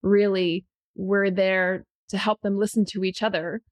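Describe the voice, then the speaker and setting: A woman's voice: medium pitch, little pitch movement, measured pace, dry recording. A woman hosting a podcast interview, thinking aloud, reflecting on what her guests say and checking in with them. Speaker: podcast host. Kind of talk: conversational interview.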